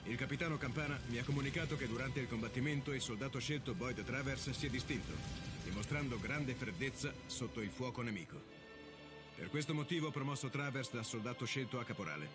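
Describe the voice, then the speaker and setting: Army colonel, male actor, mid-pitched, quick, clipped and dutiful, game cutscene report over prominent music. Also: close to an everyday voice. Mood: formal, composed. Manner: formal, clipped military delivery. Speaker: military officer narrator. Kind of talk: scripted after-action report narration.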